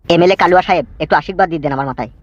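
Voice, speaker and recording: Fast-talking swaggering cartoon gangster, acted by a man. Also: on the high side, no echo, lo-fi sound, close to an everyday voice. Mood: menacing swagger. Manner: crude, cocky, bragging. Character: swaggering boastful male gangster and mafia fixer. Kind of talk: comedy cartoon dubbing.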